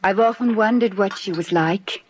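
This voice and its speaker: A woman's voice: medium pitch, moderate pace, slight background noise, close to an everyday voice, low-quality recording. A woman playing an adoring, curious British bride in a 1950s radio drama, with a romantic, theatrical delivery. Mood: uneasy, curious. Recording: vintage 1950s radio broadcast.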